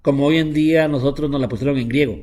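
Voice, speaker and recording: A middle-aged man teaching a relaxed Bible class as a rabbi, talking in a chatty, repetitive way and slipping English words into his Spanish. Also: a little high, fast talker, noisy background. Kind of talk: informal Bible lesson.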